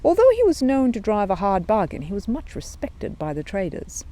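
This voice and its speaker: Female storyteller for children's audiobook narration, medium pitch with plenty of melodic movement. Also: big pitch swings, moderate pace, dark tone.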